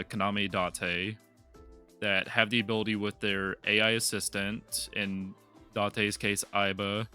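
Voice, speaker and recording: A man with a fairly low, bright and forceful voice chats on a gaming podcast. He talks in an animated way, laughs often and explains his ideas at length. Faint music plays behind him. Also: clear, resonant tone. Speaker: podcast co-host. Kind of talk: casual podcast chat.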